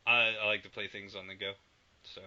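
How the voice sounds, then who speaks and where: A man's voice: fairly low pitch, flat delivery, fairly quick, bright, forceful tone. A man co-hosting a podcast in casual chat, rambling and swearing casually. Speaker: podcast co-host. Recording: dull lo-fi podcast audio with faint noise.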